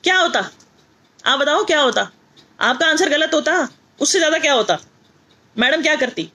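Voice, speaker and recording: High-pitched North Indian woman teaching with emphasis. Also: lively intonation, moderate pace, bright, forceful tone. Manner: emphatic, repetitive, asks rhetorical questions. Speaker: teacher and motivational speaker. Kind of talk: motivational lesson mixing Hindi and English.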